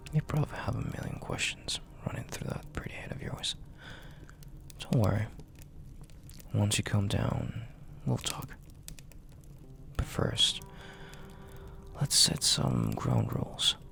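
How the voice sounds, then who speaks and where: Mafia boss for audio roleplay, male actor: dominant and seductive man, slow, swooping delivery in a breathy gravelly murmur, teasing but in command. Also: lowish voice, a bit bright, exaggerated voice. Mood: smug, controlled. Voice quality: gravelly, breathy half-whisper.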